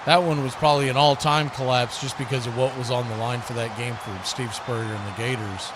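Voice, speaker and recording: A man's voice: medium pitch, fairly even intonation, fairly quick. A man doing live play-by-play commentary of a football game as a sports announcer. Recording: noisy live stream, clear background noise.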